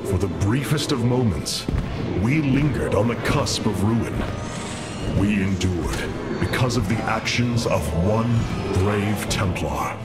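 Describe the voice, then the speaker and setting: An actor playing a noble, resolute young alien warrior leader in a video game, speaking in solemn, formal, commanding oratory. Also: male voice, on the low side, expressive, slow pace. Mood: solemn, stirring. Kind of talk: video game cutscene acting. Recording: loud music under the voice.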